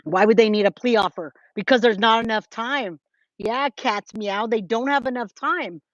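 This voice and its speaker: A woman's voice: a little high, normal speed, no echo, muffled recording. An American woman in her forties chatting casually with her livestream viewers, informal and emphatic, often repeating herself for effect. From California, United States. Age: 40s. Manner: informal, addresses viewers as guys, babe, my love. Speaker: true crime livestream host. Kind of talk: casual livestream chat, replying to viewers' comments.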